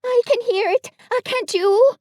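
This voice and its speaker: A woman doing a squeaky, stammering timid piglet. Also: a little slow, darkish tone, no echo, studio quality. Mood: anxious. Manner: stammering, panicky. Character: timid anxious small male piglet. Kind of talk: storybook reading.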